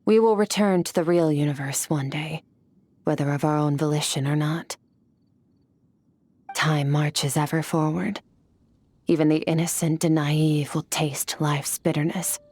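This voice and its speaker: An actress playing a cool, ageless woman warrior, calm, detached and philosophical. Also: a little slow. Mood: contemplative. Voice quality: husky, low and composed. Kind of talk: video game voice acting.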